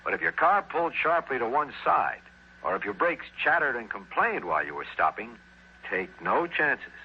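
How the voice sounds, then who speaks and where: A man's voice: mid-range voice, very animated, moderate pace, slightly rough. A man with an American accent giving folksy step-by-step instructions in a 1960s radio commercial. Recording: dull vintage radio recording.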